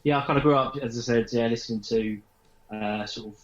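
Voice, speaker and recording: A man's voice: medium pitch, fairly even intonation, brisk. A man, a musician in a casual interview, rambling loosely through his answers. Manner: rambling, heavy hedging with kind of. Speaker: band member being interviewed. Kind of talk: casual chat. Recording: dull lo-fi remote sound.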